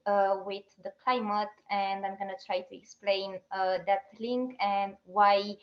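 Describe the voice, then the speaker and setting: A woman from Romania giving an online talk as an activist, pausing and restarting her sentences often. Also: medium pitch, little pitch movement, reverberant, highs cut off. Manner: halting, with restarts and fillers. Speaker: activist speaker.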